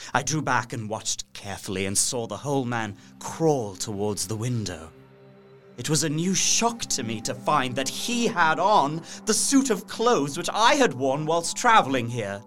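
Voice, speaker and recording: Earnest, troubled young captive, faint music. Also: male voice, medium pitch, fairly bright tone. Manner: earnest, intense, formal. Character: distressed young Englishman imprisoned in a castle, journaling. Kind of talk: dramatic first-person journal narration.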